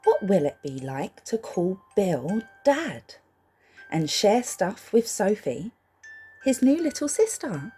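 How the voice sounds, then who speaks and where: A woman reads a picture book aloud expressively, gently reassuring young listeners and putting questions to them. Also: mid-range voice, big pitch swings, slow pace, slightly rough, faint music behind. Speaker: storybook reader for young children.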